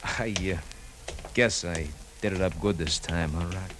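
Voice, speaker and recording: An actor playing an earnest, hard-boiled American sidekick in a slangy 1950s radio drama narration. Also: male voice, low-pitched, a little slow. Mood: sheepish. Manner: colloquial, slangy. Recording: old radio recording, music underneath.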